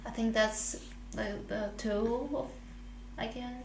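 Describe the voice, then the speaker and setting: A woman's voice: on the high side, fairly even intonation, slow, a little mellow, a little hiss or hum. A young woman from Taiwan, a native Mandarin speaker with a Taiwanese accent, chatting casually and searching for words in English. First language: Mandarin Chinese. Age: young adult. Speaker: podcast guest, international student. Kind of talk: casual podcast chat. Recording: echoey room.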